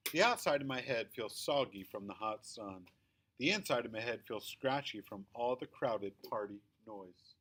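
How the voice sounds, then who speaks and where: A man reading a children's story aloud in the first person, with expressive, emphatic storytelling. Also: on the low side. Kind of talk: scripted children's book read-aloud, first-person narration.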